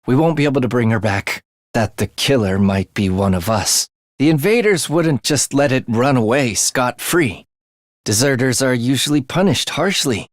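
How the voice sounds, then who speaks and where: A man's voice: mid-range voice, animated, moderate pace, dry recording, studio quality, natural voice. A man voicing an eerie, bloodthirsty young male student in a video game, with a sinister, theatrical manner that savors morbid details. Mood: creepy, relishing. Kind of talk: video game character voice acting.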